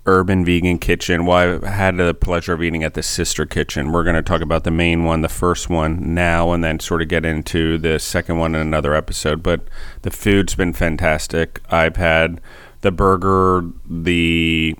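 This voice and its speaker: Brief: male podcast host, low and smooth, flat steady delivery. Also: normal speed, dry recording. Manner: steady, friendly, scripted-sounding intro. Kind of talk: podcast interviewing.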